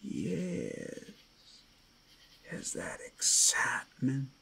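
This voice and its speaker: A man playing a predatory male werewolf in an ASMR roleplay, menacing and seductive, theatrical, with a gravelly voice that often drops to whispers. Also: mid-range voice, huge pitch swings, very slow, sharp tone, full character voice. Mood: sly, coaxing. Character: predatory male werewolf toying with his prey. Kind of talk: ASMR roleplay, dramatic monologue.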